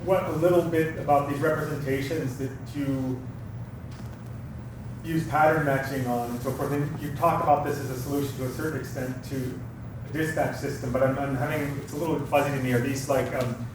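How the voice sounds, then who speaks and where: A man's voice: mid-range voice, fairly even intonation, brisk. A man in a conference audience asking a question in a hesitant, thinking-aloud way. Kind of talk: question from the floor. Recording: echoey hall from far off, noisy.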